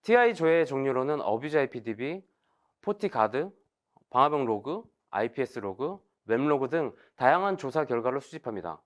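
A man delivers a scripted technical presentation in a measured, formal manner. His voice sits at an average pitch but moves up and down noticeably, stepping through each point as an explainer would. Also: sing-song.

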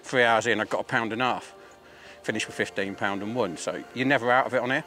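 Man, forties, English accent, lowish pitch, casual angler interview, outdoors. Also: fast, music in the background. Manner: asks and answers own questions. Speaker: competing angler. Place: outdoors at the edge of a reservoir.

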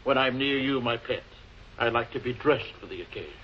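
A man's voice: medium pitch, fairly quick, lightly acted. A man playing a suave, witty, unruffled murder suspect in a 1940s television crime drama, with arch, dry sarcasm. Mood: dry, mocking. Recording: muffled, noisy old film soundtrack.

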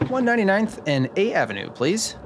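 A man playing a genial, sharp tax expert in a scripted noir radio drama, upbeat and explanatory. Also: sits high, huge pitch swings, fairly quick, noisy background, heavily acted. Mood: cheerful. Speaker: podcast host acting in a radio drama.

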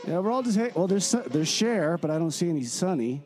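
Gossipy laid-back traveler, played by a man, higher male voice, confiding radio-comedy monologue over music. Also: big pitch swings, brisk, darkish tone. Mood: breezy.

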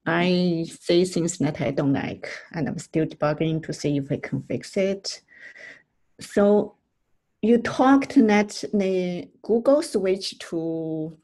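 A woman's voice: fairly low pitch, dark tone. A woman developer at a team meeting on a video call, speaking hesitantly and asking questions.

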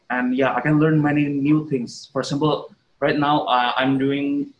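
Man, young adult, Indonesian accent, flat monotone, earnest, student panelist. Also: medium pitch, rough recording. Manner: plain, earnest. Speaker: undergraduate panelist. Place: studio, indoors.

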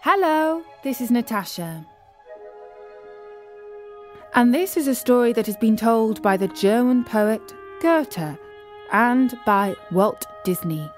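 A woman narrating a scripted story for children as a storyteller, with measured, deliberate phrasing. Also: fairly high pitch, expressive, soft background music.

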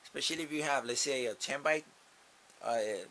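A man's voice: mid-range voice, moderate pace, fairly bright tone, slight background noise. A young man casually talking through an unboxing in a small grow tent, upbeat and repeating himself as he thinks aloud. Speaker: gardening channel host. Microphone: camera mounted above.

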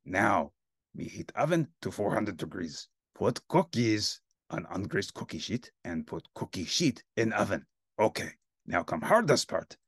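A man voicing a greedy, cookie-obsessed male monster who talks in broken "me" grammar with a gravelly, husky growl. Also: lowish voice, big pitch swings, measured pace, no room sound, clean studio sound, full character voice. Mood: gleeful. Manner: broken caveman grammar, self-called "me".

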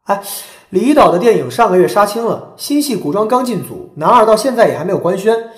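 An actress as a knowing woman speculating on showbiz gossip, mid-pitched with lively intonation. The audio drama sound is echoey, with music behind. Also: brisk, natural voice. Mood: musing. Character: knowing, musing female entertainment gossip follower.

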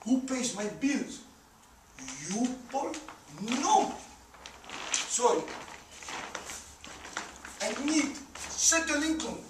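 An actor playing a stubborn, weary immigrant landowner on stage, with clipped non-native phrasing and an emphatic, dismissive manner. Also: male voice, extremely high pitch, lively intonation, extremely slow, long pauses, background noise, exaggerated voice. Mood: weary, anxious. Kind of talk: stage play acting. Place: indoor theater stage. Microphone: far camera. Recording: echoey theater stage, distant camera mic.

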